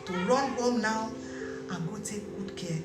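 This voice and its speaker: A woman's voice: high voice, lively intonation, fast talker. A woman playing a shrewd older aunt who mocks, then lectures her niece. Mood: lecturing. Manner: brusque, mocking, then lecturing. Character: shrewd, scolding older aunt giving advice. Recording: roomy with music behind.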